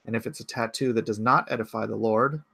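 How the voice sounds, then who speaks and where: A man's voice: on the low side, quick pace, vocal fry, bright, forceful tone, slightly rough, no room sound. A man in his forties hosting a casual livestream from his home computer, rambling and thinking aloud as he answers chat comments. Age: 40s. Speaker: livestream host.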